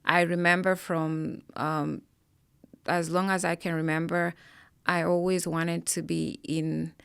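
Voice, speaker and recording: A woman's voice: lowish voice, level tone, slow pace, gravelly, clean tone, no echo, clean studio sound. A woman, a financial advisor, answering interview questions in an earnest, explanatory way with frequent fillers. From Kenya.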